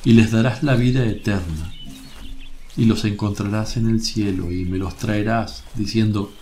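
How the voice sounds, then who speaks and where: A man plays a serene master teacher in a religious radio play. His voice is very mellow and slightly low, with affectionate, gentle authority. Birdsong and some noise sit behind it. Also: measured pace, lightly acted. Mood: tender, solemn. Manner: gentle, solemn, reassuring. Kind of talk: religious radio drama acting. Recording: noisy, birdsong ambience behind.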